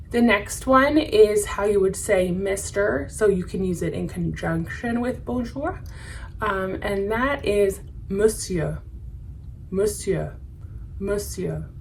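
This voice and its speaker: An American woman, a French instructor, explaining words to camera in a patient, measured way and slowing to repeat each word carefully. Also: medium pitch. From United States.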